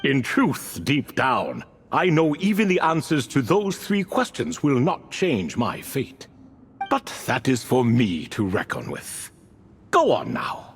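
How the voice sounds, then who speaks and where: A man voicing a wry, resigned, proudly undefeated desert man in a video game, speaking in a measured, theatrical way. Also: mid-range voice, wildly swooping pitch, faint music behind. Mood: resigned, grave.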